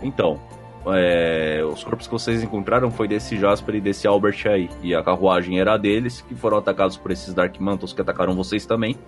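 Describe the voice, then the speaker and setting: Fast-talking Brazilian man, casual podcast co-host. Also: deep voice, faint music behind. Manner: colloquial, explanatory. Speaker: podcast co-host and game master. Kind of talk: casual chat answering listener feedback.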